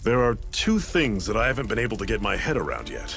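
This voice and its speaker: An actor playing a seasoned, fatherly rebel leader in a video game, with a relaxed, folksy, mentoring way of talking. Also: fairly low pitch, fast, gravelly, music in the background, exaggerated voice. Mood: wry, explaining.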